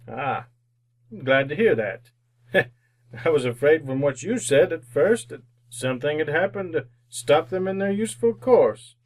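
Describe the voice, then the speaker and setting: A man's voice: a little high, animated, measured pace. An actor playing a miserly, cold-hearted, crotchety older businessman in old-time radio drama style, curt and scornful. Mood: cold, sardonic.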